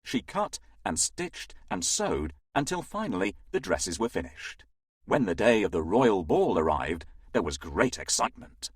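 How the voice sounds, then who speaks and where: A man narrates a children's story with hugely varied pitch. His voice is husky and recorded very dry and close, in an expressive storytelling style. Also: a little slow, no echo.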